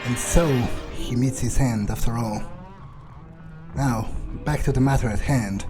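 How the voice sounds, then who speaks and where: A man's voice: medium pitch, measured pace, close to an everyday voice. A man voicing an arrogant, power-hungry male vampire in a cold, self-assured manner, roleplaying over a video game. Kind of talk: in-character roleplay over gameplay. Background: game music.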